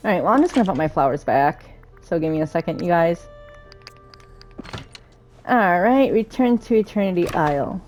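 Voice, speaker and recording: A woman's voice: fairly low pitch, animated, moderate pace, long pauses, a little mellow, faint music behind. A woman hosting a gaming playthrough, commenting casually and reading game text aloud. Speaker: gaming video host.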